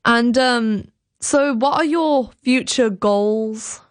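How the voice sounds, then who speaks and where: A woman's voice: fairly high pitch, takes it slowly, dry recording. A young woman radio interviewer chatting casually with her guest.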